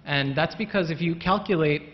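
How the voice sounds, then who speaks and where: A man's voice: on the high side, moderate pace. Man explaining physics in a reverberant hall. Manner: explanatory, hedging with "kind of" and repeated words. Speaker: panelist. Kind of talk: panel discussion answer. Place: lecture hall. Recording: muffled hall recording with long reverb.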